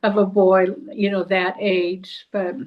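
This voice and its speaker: A woman's voice: mid-range voice, little pitch movement, slow pace, phone-quality sound. A woman chatting casually in a group discussion as a film club member.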